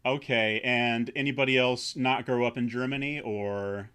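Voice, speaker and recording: A man with a bright, forceful tone hosts a relaxed roundtable over a video call. His pitch sits in a middle range, and he keeps things easygoing with frequent laughter. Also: moderate pace, clear, resonant tone. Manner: laid-back, laughs easily. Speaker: host. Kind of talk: casual roundtable chat.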